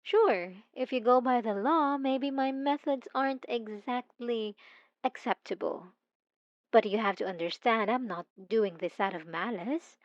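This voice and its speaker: A woman's voice: on the high side, measured pace, no room sound, dull audio, natural voice. A woman voicing a possessive, obsessive female stalker in an intimate ASMR roleplay, coy and mocking, cooing with teasing menace. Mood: earnest, pleading.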